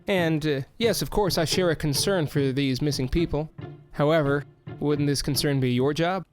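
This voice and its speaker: An actor playing a male mayor who dodges questions with hesitant, smooth talk. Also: fairly high pitch, normal speed, soft background music, close to an everyday voice. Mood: evasive. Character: evasive middle-aged male mayor. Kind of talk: audio drama acting.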